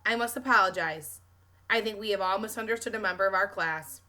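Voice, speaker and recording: A woman voicing a proud male schoolteacher with a formal, deliberate, dry manner, reading from a storybook. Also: medium pitch, pushed and bright, soft background music, natural voice. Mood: solemn.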